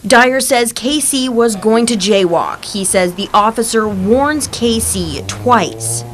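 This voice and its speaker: A woman's voice: on the high side, expressive, takes it slowly, slight background noise. A woman with an American accent reading scripted news narration as a television reporter.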